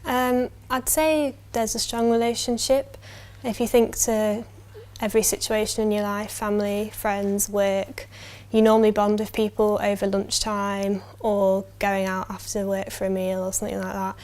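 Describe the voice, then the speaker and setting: A young woman, speaking in a level, matter-of-fact way. Her pitch stays flat and even, in a middle range. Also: normal speed. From Yorkshire, England. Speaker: university student, interviewee. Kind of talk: answering interview questions.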